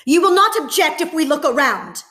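A woman voicing a harsh, angry German officer who barks loud commands in a storybook reading. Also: medium pitch, lively intonation, slow.

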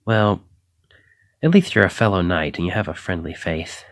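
A man's voice: low voice, sing-song, normal speed, no room sound, studio quality. A man acting a shy, flustered, self-doubting young knight in an ASMR roleplay, stammering and hesitant as he apologizes. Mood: anxious, self-doubting.

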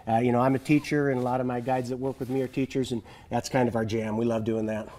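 A man in his thirties with a mellow, darker voice talks in an easygoing, chatty way, full of "uh"s and restarts. He is a fishing guide speaking on a TV studio set through a lapel mic. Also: mid-range voice, fast. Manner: relaxed and chatty, many "uh"s and restarts, chuckles often. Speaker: fishing guide and business owner. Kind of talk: casual TV studio interview.

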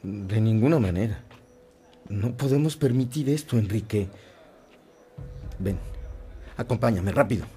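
An actor playing a warm-hearted, earnest young seminarian in a radio drama, with a persuasive, urging manner. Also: male voice, lowish voice, a little slow, long pauses, soft background music, lightly acted. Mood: urgent resolve.

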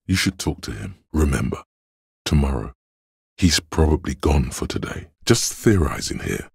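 Gravelly, bottomless-voiced inner Logic. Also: male voice, big pitch swings, darkish tone, dry recording, exaggerated voice. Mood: dry, deliberate. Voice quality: very deep, gravelly, husky. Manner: measured, dry, cerebral, condescending. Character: cold analytical inner voice of logic in a detective's mind. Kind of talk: video game narration in second person.

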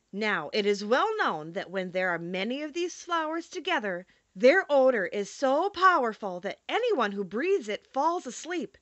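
A woman narrates a storybook in a high, very animated voice. Her reading is expressive and scripted, recorded dry and close. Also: no room sound. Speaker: audiobook narrator.

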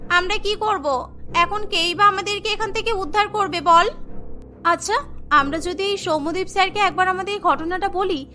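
A woman plays an earnest young orphan servant girl in a cartoon. The voice is extremely high and bright, with a plaintive manner, over faint music. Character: young orphaned twin sisters, poor servant girls, earnest and pleading. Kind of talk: cartoon story dialogue.

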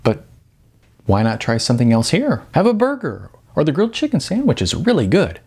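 A male reader gives voice to a waitress in an audiobook, polite and composed. She speaks calmly and wryly, with lively pitch and a husky grain. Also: brisk, heavily acted. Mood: friendly, curious. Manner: calm, polite. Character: young waitress, polite, wry, composed woman.

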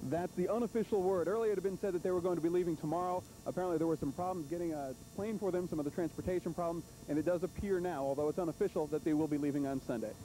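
A man's voice: racing, hardly any pauses, dark tone, poor audio. A man in his thirties reporting live for TV news with a handheld microphone, outdoors on location. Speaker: TV field reporter. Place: outdoors at an army base, on location. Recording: faint hiss and rumble, old TV broadcast.

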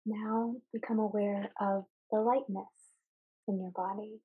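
A woman guides a meditation in a soft, husky-breathy voice. Her delivery is very slow and level, with little rise or fall in pitch. She speaks calmly and soothingly, pausing between short phrases. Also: phone-quality sound. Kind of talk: guided meditation, calm scripted narration.

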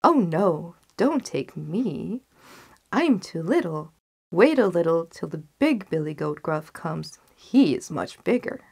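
A woman's voice: lowish voice, big pitch swings, slow, vocal fry, a little mellow, breathy edge, heavily acted. A woman voicing a meek middle-sized storybook billy goat, pleading.

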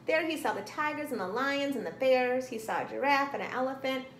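A woman's voice: high voice, brisk. A middle-aged woman reading a storybook aloud to children expressively, recorded in a small room. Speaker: storybook reader. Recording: roomy, some background noise.

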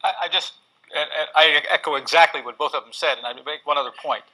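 An older man with a husky voice speaking as a panelist, measured and plain-spoken. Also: a little high, sharp tone, poor audio. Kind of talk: panel discussion. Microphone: lapel mic.

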